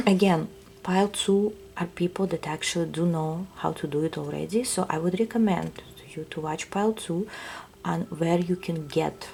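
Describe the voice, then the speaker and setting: A woman with a Russian accent, giving a soft, hesitant tarot reading that often drops to a whisper. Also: lowish voice, gravelly. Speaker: tarot reader. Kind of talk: intuitive reading to viewers.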